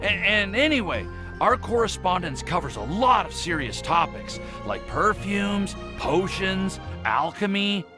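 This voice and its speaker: A man's voice: sits high, big pitch swings, unhurried. A man playing an earnest, flustered young alchemist in a video game, hesitant but formal in his wording. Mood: sheepish. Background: faint game music.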